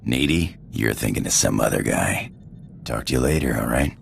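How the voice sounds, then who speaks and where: A man playing a cocky, roguish space pirate in a video game, with a gravelly drawl, casual slang and a flirtatious swagger. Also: normal speed, a little hiss or hum, heavily acted. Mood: teasing, defiant. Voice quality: gravelly, very deep voice. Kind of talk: video game character acting.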